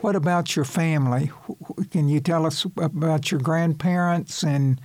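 Higher-voiced male interviewer, dry sound. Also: normal speed, darkish tone, no room sound. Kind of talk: radio interview.

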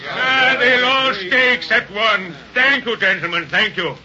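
High, sharp-voiced mill owner. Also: male voice, unhurried, full character voice. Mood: pleading, courteous. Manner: courteous, formal. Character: anxious, formal frontier mill owner and businessman. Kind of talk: 1930s radio acting. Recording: muffled, hissy 1930s radio recording.

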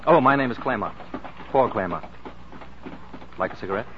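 An actor playing a suave, flirtatious racing driver, breezy and wry, in a 1940s radio drama. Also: male voice, on the low side, animated, a little fast, close to an everyday voice. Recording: muffled, hissy 1940s radio broadcast.

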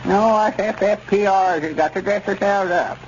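Guy doing a high old southern country codger, puts on airs, folksy, hissy old radio comedy. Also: moderate pace, lightly acted. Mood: self-satisfied. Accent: rural Southern American. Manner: folksy, drawling, ungrammatical. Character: boastful elderly rustic man putting on society airs. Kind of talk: 1930s radio comedy acting. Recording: dull, noisy 1930s radio recording.

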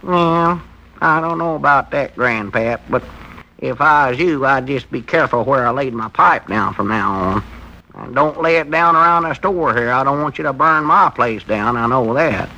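An actor playing an earnest, fretful country storekeeper with a rural Southern American accent, folksy and plainspoken, in a 1940s radio comedy. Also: male voice, mid-range voice, moderate pace, creaky, sharp tone, lo-fi sound. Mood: scolding. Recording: noisy old 1940s radio recording.

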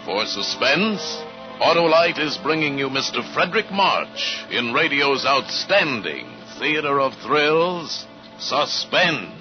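Male vintage radio announcer, unhurried and dramatic with sweeping intonation, over loud music. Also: a little high, very animated. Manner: measured, dramatic. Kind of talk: 1940s radio announcing, scripted introduction. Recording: muffled old radio broadcast.

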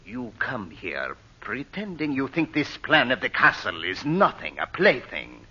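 An actor playing a blunt, commanding foreign schemer with formal, imperious, clipped phrasing in an old radio drama. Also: male voice, mid-range voice, wildly swooping pitch, measured pace, bright, forceful tone, breathy edge, heavily acted. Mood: stern, probing. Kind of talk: 1930s-40s radio drama acting. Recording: muffled old radio broadcast recording.

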